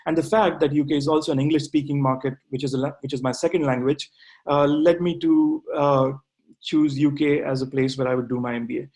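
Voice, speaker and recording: A man in his thirties talks through a webinar as an MBA graduate. His voice sits a bit higher than most men's, and he often stalls on "uh" and restarts his phrases while he explains. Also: fairly even intonation, fairly quick, a little mellow. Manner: hesitant, with frequent "uh" fillers and restarts. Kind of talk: webinar talk answering questions.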